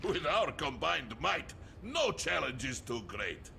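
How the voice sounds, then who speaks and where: A man's voice: fairly high pitch, big pitch swings, slow, vocal fry, sharp tone, a little hiss or hum, exaggerated voice. A man voicing a hearty, confident adventuring hero in a video game. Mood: upbeat, confident.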